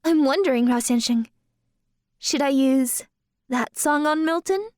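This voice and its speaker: A woman's voice: high voice, expressive, measured pace, a little mellow, no echo, studio quality. An actress playing a polite, coy young woman dancer with magical powers in an audio drama. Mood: coy, teasing.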